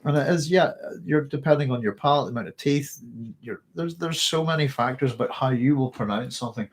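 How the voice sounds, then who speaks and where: Husky Northern Irish man with a tickly cough. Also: medium pitch, fairly quick. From Northern Ireland. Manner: rambling, chatty, frequent fillers and restarts. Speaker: live stream host. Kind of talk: casual live chat reading comments.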